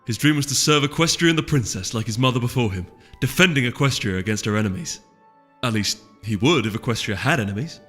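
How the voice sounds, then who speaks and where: A man's voice: medium pitch, expressive, quick pace, a bit bright, big-room sound, soft background music. A man reading a fantasy story aloud as scripted narration. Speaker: audiobook reader.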